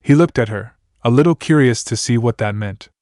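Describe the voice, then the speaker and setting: A man reading a fan fiction story aloud in a steady, plain way. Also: mid-range voice, fairly quick, dry recording, clean studio sound. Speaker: narrator.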